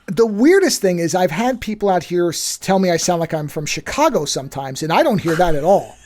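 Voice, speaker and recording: A man's voice: sits high, very animated, fairly quick, a little mellow. A man with a New York accent, co-hosting a podcast in casual chat, blunt and swearing freely. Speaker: podcast co-host.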